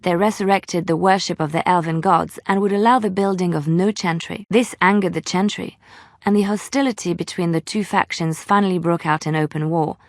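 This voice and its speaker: A woman playing a gentle, devout former minstrel turned chantry sister in a fantasy video game, speaking in a measured, earnest, lyrical storyteller's manner. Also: on the low side, steady tone, no room sound, studio quality, lightly acted. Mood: solemn storytelling.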